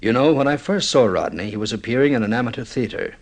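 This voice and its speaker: An actor playing a middle-aged film director, intense and mournfully insistent, with theatrical, deliberate delivery in vintage radio drama style. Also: male voice, medium pitch, animated. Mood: impassioned, persuasive.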